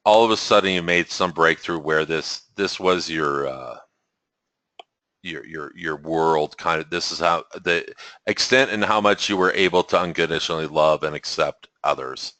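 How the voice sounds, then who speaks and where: A man hosting a spiritual webinar, talking in a relaxed way with chuckles and frequent restarts, through a computer mic on a call. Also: deep voice, a little slow, no room sound. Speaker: webinar host. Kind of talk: teaching webinar talk.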